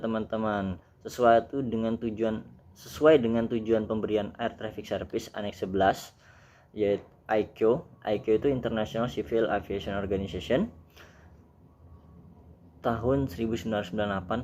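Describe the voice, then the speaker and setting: A man's voice: on the low side, darkish tone, a little hiss or hum. A man with an Indonesian accent giving an informal explainer lesson, reading out definitions and mixing in English technical terms as he addresses viewers as friends. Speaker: air traffic controller, YouTube presenter.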